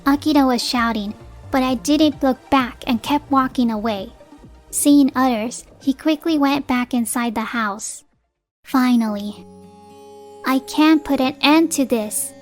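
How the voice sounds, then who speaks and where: A woman's voice: high-pitched, unhurried. A resolute young mother played by a woman narrates her story in earnest, dramatic manga dub style. She sounds like a wronged wife holding firm, with faint music underneath. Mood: determined. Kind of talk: scripted first-person narration, manga dub.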